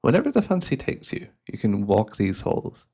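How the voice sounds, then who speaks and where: A man playing a devious, cultured hypnotist and collector in a fantasy ASMR roleplay, speaking in florid, theatrical phrases with a softly coaxing manner. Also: lowish voice, wildly swooping pitch, normal speed, vocal fry, breathy edge, heavily acted. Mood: warm, beguiling.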